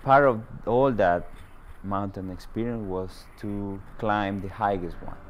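Deep-voiced man with Dominican Spanish accent. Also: measured pace, background noise. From Dominican Republic. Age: middle-aged. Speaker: cancer patient telling his story. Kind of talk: personal testimonial in a promotional documentary.

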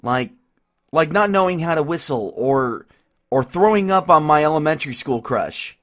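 An actor plays an easygoing young student in an audio drama. His voice is fairly high with lively movement. He talks casually and wryly. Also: moderate pace. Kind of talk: casual conversational drama acting.

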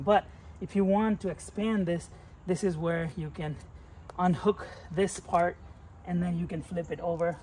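A man's voice: sits high, a little mellow, slight background noise. A man in his thirties casually reviewing a product outdoors, chatting while he demonstrates it by hand. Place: outdoors beside a car, moving around the trunk.

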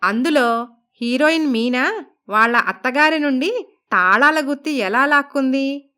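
A woman's voice: sits high, lively intonation, measured pace, sharp tone, heavily acted. A woman voicing a polite, coaxing young daughter-in-law with a scheming streak in a cartoon moral story. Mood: scheming, reassuring. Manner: respectful, coaxing. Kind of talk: Telugu animated moral story voice acting.

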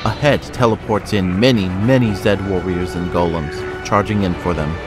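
Low-voiced man narrating over loud music. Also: normal speed. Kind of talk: scripted audio drama narration.

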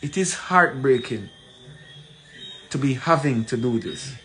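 A man in his forties with a Jamaican accent gives an emphatic, deliberate address to camera, repeating phrases for weight. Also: mid-range voice, expressive, a bit bright. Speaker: community leader. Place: small plain room indoors. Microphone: phone at arm's length. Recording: noisy room, ambient background sound.

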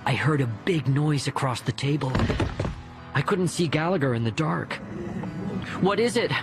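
A man's voice: mid-range voice, moderate pace, creaky. A man reading a first-person audiobook story expressively, acting out the dialogue. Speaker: audiobook narrator. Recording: music behind the voice. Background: music.

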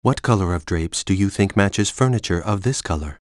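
A man voicing a calm, implacable computer AI for a game, speaking in a formal, measured way. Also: low voice, dry recording, studio quality. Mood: cold, quizzing. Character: calm, implacable male computer AI guarding a facility.

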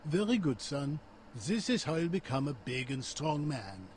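Strict dad, firm, lecturing, bit of background noise. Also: male voice, fairly high pitch, sing-song, normal speed, darkish tone. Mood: stern. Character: stern father, ice cream maker.

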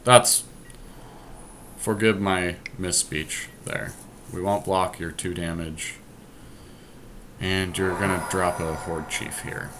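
A man's voice: deep voice, steady tone, slow pace, long pauses. A man co-hosting a podcast, giving casual commentary on a game as he plays. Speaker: podcast co-host. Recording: clearly noisy home recording.

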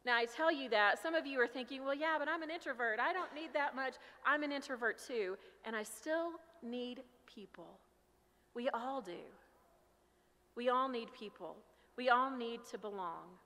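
A middle-aged American woman pastor delivering a sermon with personal storytelling in a loud, emphatic, projected voice. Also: on the high side, moderate pace. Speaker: pastor preaching. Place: large church sanctuary, at pulpit. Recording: reverberant large church hall.

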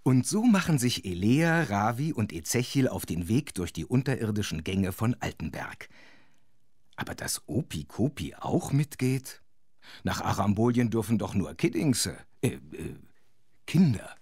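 A playful, chatty storyteller for a children's radio play. His voice is husky and fairly low, with animated swings in pitch and a dry, close sound. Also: unhurried, no room sound, heavily acted. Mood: wondering, playful. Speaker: narrator. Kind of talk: scripted, playful storytelling narration.

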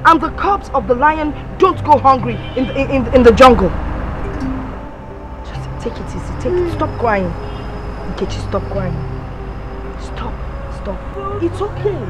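A woman's voice: sits high, expressive, unhurried, pauses often. A woman playing a commanding older sister, scolding her younger brother. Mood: soothing. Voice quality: forceful, scolding. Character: stern commanding older sister, family enforcer. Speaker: eldest sister. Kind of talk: film drama acting. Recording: echoey room with music bed.

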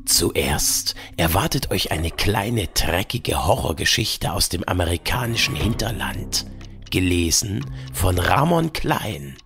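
A man with a very low voice announces horror tales in a slow, dramatic way. His intonation swings widely, and music plays clearly behind him. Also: big pitch swings. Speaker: podcast announcer. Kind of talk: dramatic scripted horror introduction.

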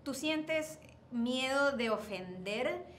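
A woman's voice: sits high, animated, very slow. A woman in her thirties with a Puerto Rican accent, interviewing in a chatty, informal way with some English mixed in. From Puerto Rico. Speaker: entertainment interviewer. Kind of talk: casual Spanglish interview. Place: seated indoor interview set. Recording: roomy echo, faint background noise.

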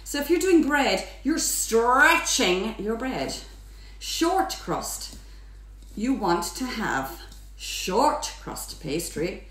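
A woman's voice: on the high side, big pitch swings, very slow, a bit bright. A middle-aged Irish woman hosting a chatty home baking demonstration in a playful, theatrical, exuberant way, sometimes sing-song. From Ireland. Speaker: baking teacher and host. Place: home kitchen, indoors. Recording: echoey kitchen, mic at a distance.

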